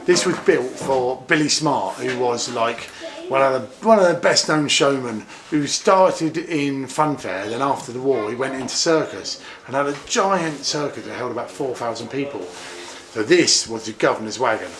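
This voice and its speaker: A man giving a casual, informal explanation as a guide, halting and repeating words as he goes. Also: mid-range voice, lively intonation, a bit bright. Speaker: tour guide, fairground owner. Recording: echoey, noisy yard ambience.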